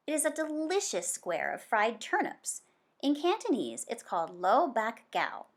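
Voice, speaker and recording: A woman voicing an anxious, boastful schoolboy telling his story with dramatic emphasis. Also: on the high side. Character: Sam Wu, anxious boastful schoolboy narrator, easily embarrassed.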